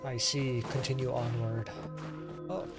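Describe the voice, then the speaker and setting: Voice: medium pitch, steady tone, unhurried. A gamer giving casual reactions and commentary while playing through a story quest. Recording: game music under the voice. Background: game music.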